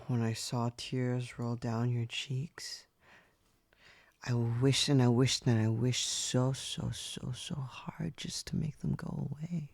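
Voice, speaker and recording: A man voicing a devoted pet who has turned into a young human, talking in an ASMR roleplay with soft, breathy whispers. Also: mid-range voice, dry recording.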